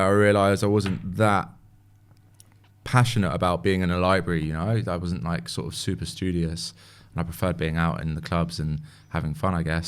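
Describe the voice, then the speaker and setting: Smooth, low-pitched man, early thirties, podcast guest, relaxed and rambling. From born in Paris, English parents. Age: 30s. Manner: relaxed, rambling, many fillers and hedges. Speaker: guest, music industry A&R. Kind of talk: casual podcast interview.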